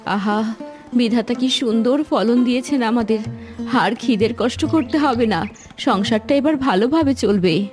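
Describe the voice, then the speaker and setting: A woman playing a gentle village wife in a cartoon. Her voice is fairly high, devout and supportive, with soft sitar music behind it. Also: measured pace, lightly acted. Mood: grateful. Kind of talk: cartoon voice acting.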